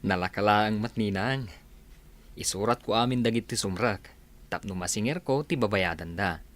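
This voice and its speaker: A man's voice: on the low side, moderate pace, fairly bright tone, close to an everyday voice. A man playing an obedient, eager young caretaker in a Filipino radio comedy drama. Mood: diligent. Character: obedient, eager godson working as gym caretaker.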